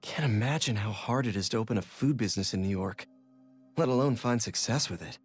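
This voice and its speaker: An actor playing a friendly young adult New York superhero with an American accent, making wry, conversational remarks in a video game. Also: male voice, lowish voice, brisk, faint music behind, close to an everyday voice. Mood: musing. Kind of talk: game voice acting, casual reflection.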